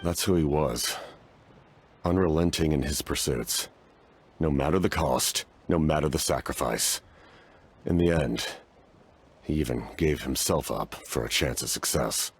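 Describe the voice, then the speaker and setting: Very deep-voiced, solemn knight-commander. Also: male voice, breathy edge, full character voice. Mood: grave. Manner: formal, measured, grave. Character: solemn masked male knight-commander, war-weary and stoic. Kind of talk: fantasy game voice acting.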